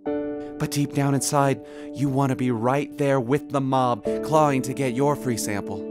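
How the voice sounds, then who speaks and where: A man's voice: mid-range voice, unhurried, lightly acted. A man playing a knowing supermarket sample vendor who speaks like a solemn messenger. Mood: knowing, insinuating. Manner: calm, insinuating, preacherly. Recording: music under the voice.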